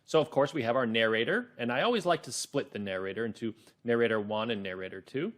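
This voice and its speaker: A man's voice: medium pitch, fast, a bit bright. A man leading a read-along lesson for children, explaining things simply and expressively.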